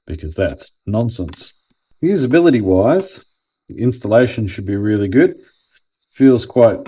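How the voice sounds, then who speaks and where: A man's voice: deep voice, dark tone. An Australian man giving a casual, conversational product review and explaining things as he goes. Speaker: host of a product review channel.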